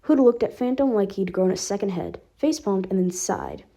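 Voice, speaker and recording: A woman's voice: mid-range voice, wildly swooping pitch, brisk, mellow. A woman reading fan fiction aloud as scripted narration. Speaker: podfic reader.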